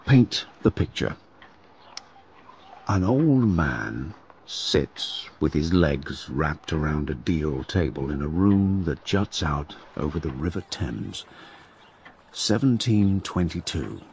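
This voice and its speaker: Old writer narrating radio drama, very low and creaky, sardonic, conspiratorial. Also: male voice, sing-song, darkish tone, slight background noise, heavily acted. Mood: brooding, painterly. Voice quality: gravelly. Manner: wry, conspiratorial, dramatic pauses.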